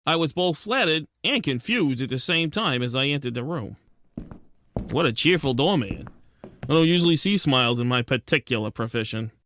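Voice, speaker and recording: A man playing a wisecracking, soft-hearted penguin private detective in a radio drama, narrating and talking in old-time gumshoe slang. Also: mid-range voice, animated, normal speed, slight background noise. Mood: wry narrating. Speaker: lead actor and narrator.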